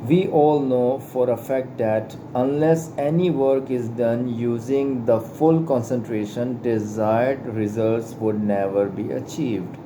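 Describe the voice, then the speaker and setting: A man's voice: mid-range voice, takes it slowly, hardly any pauses. A man whose first language is not English, carefully reading aloud from a spiritual book, stumbling and repeating words now and then. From Pakistan. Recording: steady air conditioner hum behind. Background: air conditioning hum.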